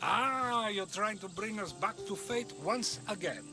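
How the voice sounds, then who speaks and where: An actor playing an eloquent, playful philosopher in a video game, with a theatrical, questioning delivery. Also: male voice, sits high, expressive, measured pace. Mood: playful, teasing. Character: playful, probing male philosopher, eloquent and teasing. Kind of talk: video game dialogue acting. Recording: loud music behind the voice.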